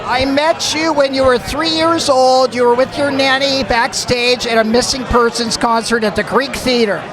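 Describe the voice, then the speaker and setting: A man's voice: very high voice, steady tone, normal speed, poor audio. A man hosting red carpet interviews at a charity event, chatty and eager with his questions. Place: busy indoor event. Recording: loud crowd and music behind.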